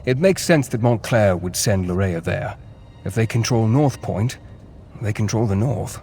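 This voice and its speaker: A man's voice: on the low side, animated, unhurried, darkish tone, slight background noise. An actor playing a learned male scholar who gives orders in a formal, curt and impatient way. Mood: instructive.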